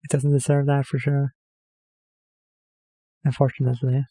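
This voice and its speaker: Mellow-voiced man rambling. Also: mid-range voice, completely flat delivery, normal speed, no echo. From Norway. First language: Norwegian. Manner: hesitant, rambling, self-correcting with many fillers. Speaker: reaction video host. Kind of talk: casual rambling commentary.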